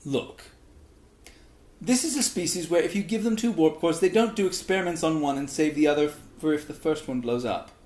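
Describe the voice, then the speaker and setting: Guy doing an exasperated alien scientist ranting, incredulous, highish voice, echoey room, story read aloud. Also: fairly quick, darkish tone, lightly acted. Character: exasperated alien Vulcan scientist, resigned and wry. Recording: echoey, roomy sound.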